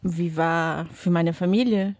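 Slow, laughing young woman. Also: on the low side, steady tone, a little mellow, dry recording. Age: young adult. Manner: searching non-native phrasing, laughs often. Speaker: interview guest. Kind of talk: casual chat. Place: radio studio.

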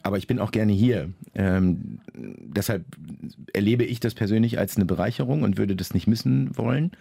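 A man being interviewed about his novel, answering in a measured, reflective way with frequent pauses and restarts. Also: lowish voice, expressive, gravelly, mellow, breathy edge, no echo, studio quality. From Germany, Lower Saxony.

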